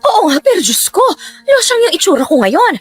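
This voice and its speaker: A woman's voice: very high-pitched, big pitch swings, fairly quick. An actress playing a flustered, vain middle-aged mother in a radio drama, exclaiming and stammering.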